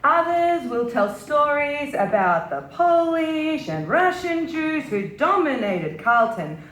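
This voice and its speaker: Female stage reader, fairly high voice, scripted memoir delivered dramatically, recorded with strong room echo. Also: a bit bright. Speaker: reader at a literary stage event. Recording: roomy, echoing live venue.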